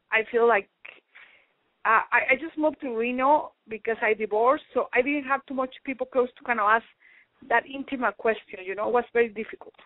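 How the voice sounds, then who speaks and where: A middle-aged woman from Mexico, a native Spanish speaker, speaking as a radio guest in careful, halting English with many restarts. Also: a little high, no room sound. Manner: halting, searching for words, restarts. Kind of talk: call-in radio interview. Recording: tinny phone line.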